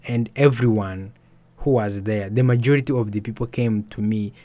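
A young man, an author and musician, telling his story softly and hesitantly in an interview. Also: on the low side, steady tone, moderate pace, dry recording. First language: Swahili. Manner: soft-spoken, hesitant with restarts and fillers. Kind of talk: reflective personal storytelling.

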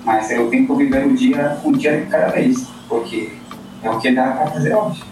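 A man's voice: mid-range voice, moderate pace, darkish tone, very echoey, recorded from a distance, a little hiss or hum, poor audio. A Colombian man, a guest speaking thoughtfully in a casual chat, searching for words. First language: Spanish.

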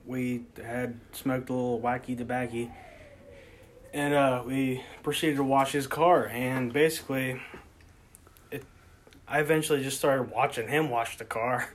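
A man in his twenties telling a story in casual chat, halting and laughing as he goes. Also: mid-range voice, steady tone, a little hiss or hum. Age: 20s. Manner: rambling, halting, laughing through stories. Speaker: podcast co-host.

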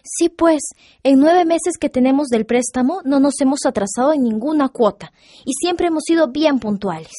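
Woman acting a high-voiced, gleeful Peruvian daughter. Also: moderate pace, mellow, dry recording, studio quality, close to an everyday voice. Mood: pleased. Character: gleeful, thrifty young daughter who handles the accounts. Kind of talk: educational radio soap acting.

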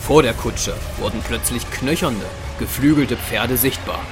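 A man's voice: medium pitch, a little slow, close to an everyday voice. A man narrating a fantasy audio drama in scripted, dramatic storytelling style. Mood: dramatic, descriptive. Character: male storyteller of a fantasy adventure. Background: music.

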